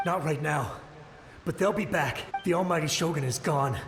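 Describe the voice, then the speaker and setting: An actor playing a desperate, devoted dying soldier in a video game, strained and halting, with a ghostly echo effect. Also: male voice, a little high, creaky. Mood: desperate, pained. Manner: anguished, pleading, halting. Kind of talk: dramatic video game acting. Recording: long echoing reverb, music behind. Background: game music.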